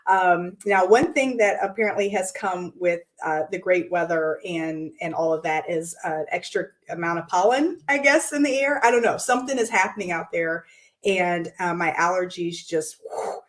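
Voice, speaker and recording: A woman hosting her weekly show, hoarse and raspy from losing her voice, talking upbeat with lots of ums and restarts in a room by a window. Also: lowish voice, normal speed. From United States. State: hoarse from lost voice. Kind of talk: casual talk to camera.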